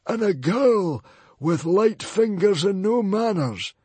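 A man's voice: high voice, expressive, takes it slowly, mellow, husky, dry recording, pitched above the performer's own voice, full character voice. A man voicing a hissing, whiny male librarian villain in an audiobook reading. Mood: menacing, screeching.

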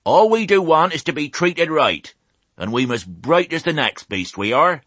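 A man voicing a blunt, kindly old mother sheep in an audiobook, with a hoarse croak and a rural West Country English accent. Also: sits low, animated, unhurried, no room sound, exaggerated voice. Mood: bossy, rallying. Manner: rustic dialect, sharp and commanding. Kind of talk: audiobook character voice.

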